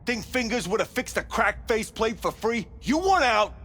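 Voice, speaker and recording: Sleazy, volatile high-voiced backstreet doctor. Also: male voice, very animated, normal speed, sharp tone, slight background noise, heavily acted. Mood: furious, bullying. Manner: sneering, volatile. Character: sleazy male back-alley cyber-doctor, bullying and petty. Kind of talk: video game dialogue acting.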